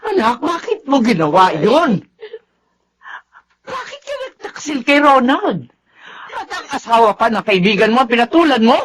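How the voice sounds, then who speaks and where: A woman's voice: sits high, very animated, normal speed, a little hiss or hum. An actress playing a reproachful, shamed older mother in an emotional Tagalog radio drama style. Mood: distraught, reproachful. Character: scolding, shamed older mother of an erring daughter.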